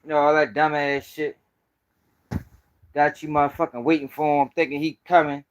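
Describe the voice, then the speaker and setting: A man's voice: a little high, flat delivery, normal speed, a little hiss or hum. A man with a Baltimore accent hosting a casual livestream, talking to viewers in loose, profane street slang. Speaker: host of a commentary channel.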